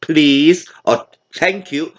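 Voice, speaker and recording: A man's voice: fairly high pitch, drawn-out words, fairly bright tone, highs cut off. A man hosting an opinionated commentary video, ranting in an indignant, sarcastic way. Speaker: commentary host.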